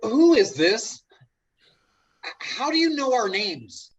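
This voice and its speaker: An actor in a play table read over video call, playing an awkward, lovelorn man who is hesitant, stammering and easily indignant, with a husky voice. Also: very high-pitched, sing-song, takes it slowly, dull audio, slight background noise, full character voice. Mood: exasperated. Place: remote video call reading.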